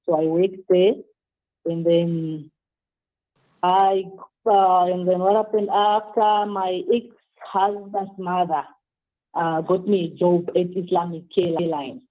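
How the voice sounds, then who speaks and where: A woman's voice: fairly low pitch, level tone, slow pace. A woman in her thirties from Zimbabwe, telling her own story as a phone-in guest, hesitant and often restarting. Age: 30s. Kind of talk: phone-in interview, personal story. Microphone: telephone. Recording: tinny phone line.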